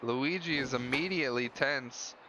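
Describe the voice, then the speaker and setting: Man calling baseball over loud, muffled audio. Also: medium pitch, moderate pace. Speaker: play-by-play commentator. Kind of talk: live baseball commentary. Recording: dull lo-fi audio with loud background noise.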